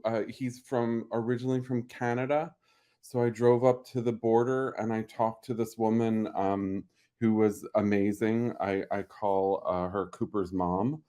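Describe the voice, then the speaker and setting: A man from Pennsylvania, a guest on a video call, talking haltingly and emotionally about his late cat with many pauses and restarts. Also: fairly low pitch, a little mellow. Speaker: pet owner guest. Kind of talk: emotional interview talk.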